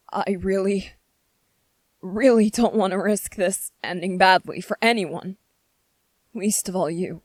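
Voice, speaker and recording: A woman voices a gentle young fantasy prince for an ASMR roleplay, unhurried and careful in every phrase. He is earnest and anxious, with formal, hesitant wording. The sound is close and dry. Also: fairly low pitch, no echo. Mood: pleading, guilty.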